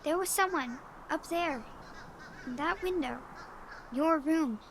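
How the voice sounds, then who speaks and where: Radio play child: eerie, wary young girl, very high voice, slow and terse. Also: slight background noise. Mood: frightened, wary. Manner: terse, simple sentences. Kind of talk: audio drama acting.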